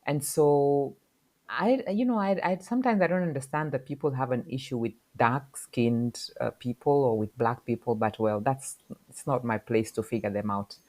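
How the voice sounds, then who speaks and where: Brief: middle-aged woman, podcast guest, unusually low pitch, thoughtful and searching, lots of fillers. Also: a little mellow. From Kenya. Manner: reflective, halting, many fillers. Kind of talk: casual interview talk.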